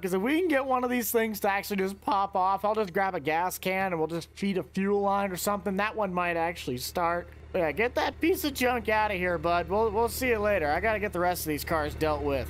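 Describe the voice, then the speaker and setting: A man giving casual, chatty commentary over his gameplay, talking straight to his viewers with excitable outbursts. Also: high voice. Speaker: gameplay video host.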